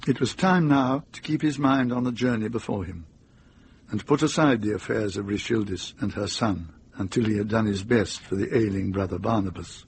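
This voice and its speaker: A man's voice: on the low side, normal speed, a little hiss or hum. A man narrating a radio drama as a storyteller, reading scripted prose.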